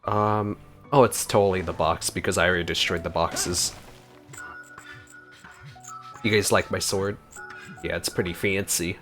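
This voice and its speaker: A man's voice: deep voice, fairly even intonation, moderate pace, a bit bright, faint music behind. A man giving casual, exclamatory gameplay commentary, informally thinking aloud as he plays. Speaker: gaming video creator.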